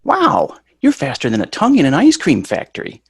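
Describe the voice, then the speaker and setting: A man's voice: a little high, huge pitch swings, a little fast, gravelly, husky, no echo, clean studio sound, heavily acted. A man reading the part of an eager, wisecracking ten-year-old boy in an amateur sitcom table read. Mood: eager, chirpy.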